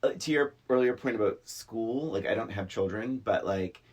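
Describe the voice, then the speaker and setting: A middle-aged man co-hosting a casual film podcast, giving his opinions in an animated way. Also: fairly low pitch, moderate pace, breathy edge. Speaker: podcast co-host.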